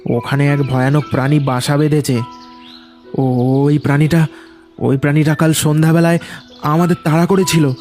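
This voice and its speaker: A man's voice: fairly high pitch, a little mellow, natural voice. A male storyteller acts out a scared village fisherman in a ghost cartoon. The voice is raised, breathless and stammering, insisting on every word over background music.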